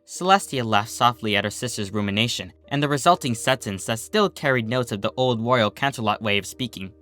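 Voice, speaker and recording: A man reading a story aloud as an expressive narrator. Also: medium pitch, a little fast, faint music behind.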